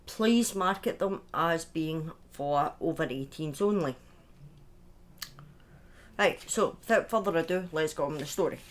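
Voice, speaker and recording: A woman's voice: sits low, unhurried, a little hiss or hum. A woman chatting informally to viewers as the host of a livestream, chatty and self-deprecating, with the odd swear word. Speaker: live streamer and storytelling host. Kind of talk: casual livestream chat to viewers.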